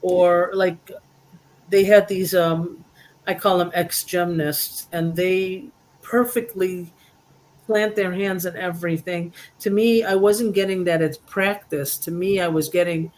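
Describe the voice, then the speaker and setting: Co-host for a casual spiritual roundtable, mid-range voice, rambling storyteller with an animated, teasing streak. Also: mid-range voice, measured pace, low-quality recording. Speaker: roundtable co-host reading cards.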